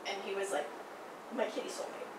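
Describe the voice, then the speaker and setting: A young woman telling a personal story in a casual, animated way, acting out the people she quotes. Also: mid-range voice, fairly quick. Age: young adult. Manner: animated, acts out quoted voices. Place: small indoor room by a theatre poster. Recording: roomy, distant, noisy.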